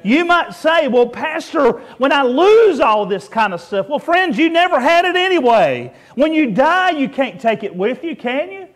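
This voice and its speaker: A man's voice: extremely high pitch, very animated. A male pastor preaching a sermon in a church, stretching out emphatic words and asking the congregation for amens. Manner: preaching with drawn-out, emphatic calls to rejoice.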